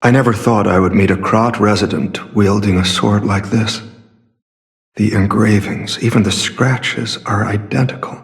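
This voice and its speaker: A man playing a secretive, earnest masked warrior in a video game, speaking in a measured, formal and solemn way. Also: on the low side, fairly even intonation. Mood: admiring, earnest. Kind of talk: scripted game character dialogue.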